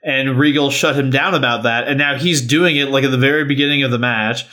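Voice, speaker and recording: A man's voice: mid-range voice, steady tone, brisk, sharp tone. A man hosting a podcast, chatting casually in slangy, conversational fan talk. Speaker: podcast host and quizmaster. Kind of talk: casual fan chat about wrestling.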